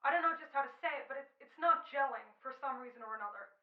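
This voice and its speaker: A woman's voice: high-pitched, lively intonation, very fast. A woman playing a dissatisfied male director in an old-time radio drama, hesitant and fussy. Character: George, dissatisfied male director calling from control booth. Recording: distant, muffled, echoey.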